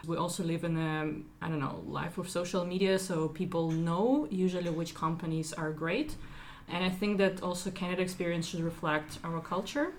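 A woman's voice: fairly low pitch, normal speed, darkish tone, a little hiss or hum. A woman from Ukraine, a recruiting team lead answering interview questions earnestly, with some fillers.

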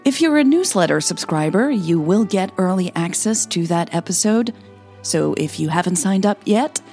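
A woman's voice: lowish voice, expressive, normal speed, a little mellow, soft background music. A woman reading scripted romantic fiction and podcast announcements as narrator and host. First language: Dutch.

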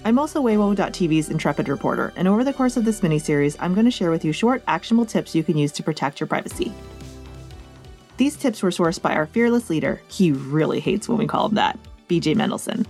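A woman's voice: lowish voice, lively intonation, quick pace, a little mellow, soft background music. An American woman hosting a podcast, reading a script with chatty, playful asides addressed straight to the listener. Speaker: podcast host and reporter.

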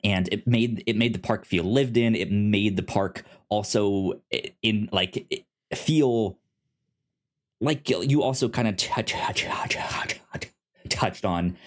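A podcast co-host chatting casually about a show, often repeating and stuttering on the first sounds of words. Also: sits low. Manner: halting, repeats and stutters on word starts. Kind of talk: casual podcast review chat.

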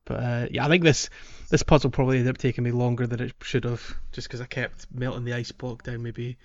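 A man talks casually as a podcast co-host. His voice sits at an average pitch, and he hesitates as he searches for words. The recording is a little dull and lo-fi. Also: level tone, a little fast, darkish tone. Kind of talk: casual video game discussion.

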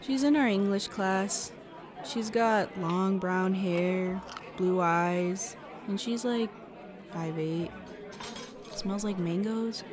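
A woman's voice: medium pitch, slow, a crowd in the background, lightly acted. A woman playing an awkward, guarded seventeen-year-old girl with a hesitant, self-conscious manner in a fiction podcast. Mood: flustered. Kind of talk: audio fiction podcast acting.

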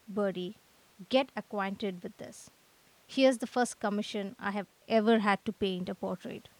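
A woman's voice: medium pitch, a little mellow, no echo, close to an everyday voice, poor audio. A woman playing a gloomy, aggrieved young male artist in a radio drama, with theatrical lamenting.

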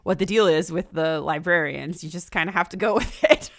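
Woman, lowish pitch, casual podcast host, informal and enthusiastic. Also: a little fast. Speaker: podcast host discussing books. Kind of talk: casual chat.

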